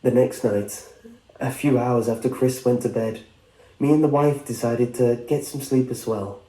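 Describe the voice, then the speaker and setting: A man reading a scripted first-person horror story in a measured storytelling way. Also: medium pitch, steady tone, warm tone. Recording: roomy sound with music behind. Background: background music.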